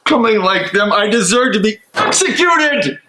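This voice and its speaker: A man in a dramatic stage reading playing a tormented, guilt-ridden German theologian, speaking haltingly and theatrically. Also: high voice, very animated, background noise. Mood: anguished self-reproach.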